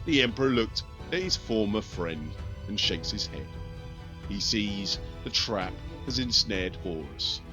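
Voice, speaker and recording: A man reading a dark sci-fi war story as an audiobook, grave and measured. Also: lowish voice, big pitch swings. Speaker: narrator. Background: faint music.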